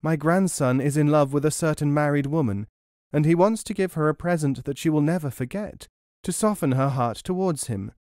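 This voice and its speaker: A man's voice: a little high, normal speed, hardly any pauses, darkish tone, dry recording, studio quality, close to an everyday voice. A man voicing a sly, wheedling old crone. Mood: haughty, wheedling. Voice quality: wheezy, mumbling at times. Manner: sly, sometimes falsely pious and humble. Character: cunning, bold, wheedling old crone in a folk tale.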